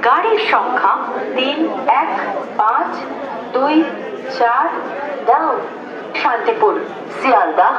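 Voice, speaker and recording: Echoey, distant woman announcing trains. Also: a little high. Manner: formal, stitched phrase-by-phrase delivery. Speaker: railway station announcer. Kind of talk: scripted public train announcement, repeated in three languages. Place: railway station public address speakers. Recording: echoey station PA from afar, muffled, crowd.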